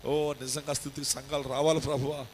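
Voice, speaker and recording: A man leading a fervent congregational prayer as pastor, ending each line with an address to God. His voice surges loud and high at the peaks, then drops to a pleading murmur. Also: little pitch movement, fast talker, slight background noise. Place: church service streamed live.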